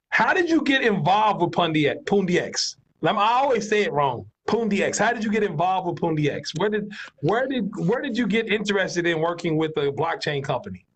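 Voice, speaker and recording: A man's voice: high voice, a bit bright, highs cut off, a little hiss or hum. A man hosting a casual live-stream interview, friendly, greeting viewers and hyping up his guest over a video call. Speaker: host.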